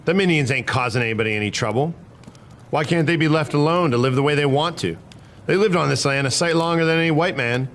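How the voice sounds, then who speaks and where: A man's voice: a little high, fast talker, fairly bright tone. A man playing a principled, stubborn cavalry private in a historical drama, arguing in folksy frontier speech. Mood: indignant.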